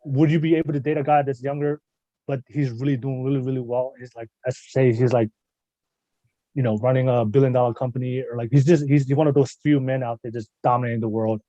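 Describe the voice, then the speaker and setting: A man hosting a casual podcast chat, talking quickly with many restarts and hedges. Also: mid-range voice, fairly even intonation, mellow, no room sound, lo-fi sound. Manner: rapid, restarts and hedges a lot. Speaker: podcast host.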